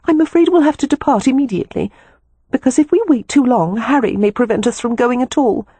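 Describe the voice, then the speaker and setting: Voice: mid-range voice, fairly quick, mellow, husky, no room sound, voice pushed up, lightly acted. A narrator voicing an earnest, distressed young married woman in an audiobook, pleading and emphatic in manner. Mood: urgent. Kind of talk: audiobook character dialogue.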